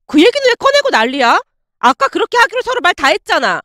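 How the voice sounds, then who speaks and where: A woman's voice: very high-pitched, very animated, fast, sharp tone, no room sound, clean studio sound, pitched above the performer's own voice, heavily acted. A woman voicing an entitled, indignant young ex-girlfriend in a dramatized story, whining and demanding. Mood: angry.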